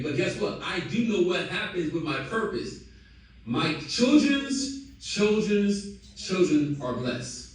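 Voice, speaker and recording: A man's voice: a little high, heavy reverb, distant mic, low-quality recording. A man in his thirties giving a hyped, slangy motivational talk to his team on a call. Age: 30s. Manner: hyped, repetitive, slangy and exclamatory. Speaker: company leader addressing a team call.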